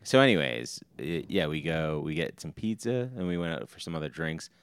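A man's voice: very deep voice, expressive, fairly quick, clean tone, dry recording, clean studio sound. A man in his thirties co-hosting a podcast with his wife, easygoing and joking as he tells stories. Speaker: podcast co-host. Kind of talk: casual chat.

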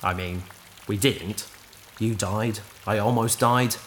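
A woman playing a patient, wry adventurer in a sci-fi audio drama, dryly and gently correcting an AI companion. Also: a bass voice, slow pace, a little hiss or hum.

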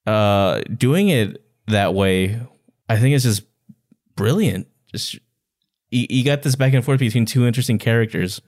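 A man's voice: mid-range voice, normal speed, darkish tone. A man co-hosting a podcast, giving his opinions in a casual book discussion. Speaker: podcast co-host.

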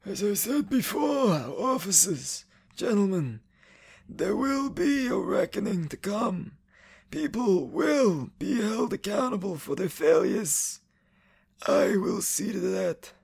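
Stern commanding officer chief, high and husky, formal, coldly menacing, radio drama. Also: male voice, very animated, a little mellow, full character voice. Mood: grim, threatening. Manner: slow, deliberate, formal.

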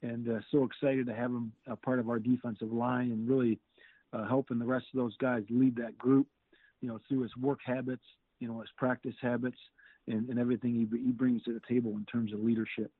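A man's voice: medium pitch, level tone, husky, no room sound. Man coaching talk on a tinny phone line. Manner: halting, repeats words often, upbeat. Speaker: football defensive coordinator. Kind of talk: interview answers.

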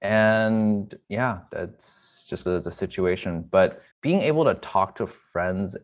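Low-voiced man speaking candidly. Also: slow. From United States immigrant family from China. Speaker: guest, emergency physician. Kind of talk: reflective personal account.

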